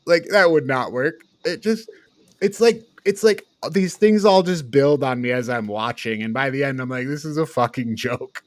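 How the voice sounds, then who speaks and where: A man's voice: mid-range voice, normal speed, no echo. Blunt, sweary man in dry-sounding casual chat. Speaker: podcast panelist reviewing films.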